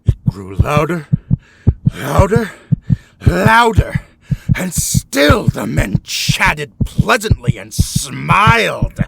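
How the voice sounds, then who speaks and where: Deranged murderer, wildly swooping pitch. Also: male voice, big pitch swings, creaky, a bit bright, slight background noise, exaggerated voice. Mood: frantic, anguished. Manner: theatrical, halting, with deliberate pauses and repeated words. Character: deranged murderer confessing, smug, then frantic with guilt. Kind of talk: dramatic gothic horror story narration.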